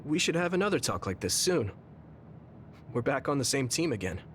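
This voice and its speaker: A man's voice: medium pitch, big pitch swings, normal speed, slight background noise, lightly acted. A man voicing an earnest, sincere young male soldier in an anime game dub, reflective in delivery. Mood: grateful, hopeful. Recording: music playing behind the voice. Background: game background music.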